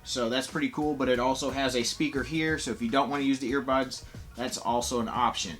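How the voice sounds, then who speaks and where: A man's voice: medium pitch, fairly even intonation, brisk, fairly bright tone, faint music behind. A man giving a casual, enthusiastic, conversational product review as a toy reviewer.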